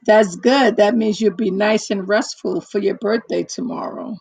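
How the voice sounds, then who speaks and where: A hoarse woman in casual one-on-one chat, talking haltingly with many fillers and restarts. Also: medium pitch, steady tone, darkish tone, no echo, rough recording. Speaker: chat partner.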